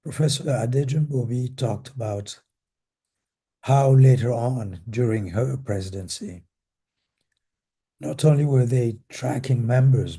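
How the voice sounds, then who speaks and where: Hoarse older man, slow and dark-toned. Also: mid-range voice. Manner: measured, deliberate, halting. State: hoarse from a cold, losing voice. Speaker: panelist, retired professor and former association president. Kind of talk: reflective panel talk recalling history.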